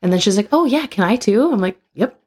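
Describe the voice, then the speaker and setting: A young adult woman co-hosting a podcast, chatting frankly and laughing often, her voice hoarse from a tiring weekend. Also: medium pitch, lively intonation, a little fast, a little mellow. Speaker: podcast co-host. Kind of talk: casual chat.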